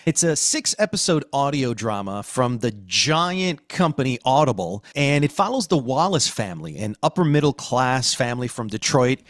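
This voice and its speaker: An American man hosting a podcast, presenting emphatically with dramatic pauses on key words. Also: mid-range voice, animated, no room sound, studio quality. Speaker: podcast host. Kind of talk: scripted podcast presenting and discussion.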